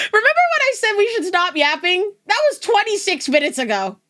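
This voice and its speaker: A woman's voice: very high voice, sing-song, normal speed, sharp tone. A young woman with a neutral West Coast American accent, chatting casually and laughing often as a streamer talking to her chat. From United States West Coast. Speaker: VTuber streamer.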